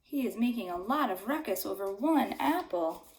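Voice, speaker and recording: A woman's voice: medium pitch, expressive, moderate pace, roomy sound, background noise. A woman reading a storybook aloud to young children like a teacher, with simple, emphatic phrasing.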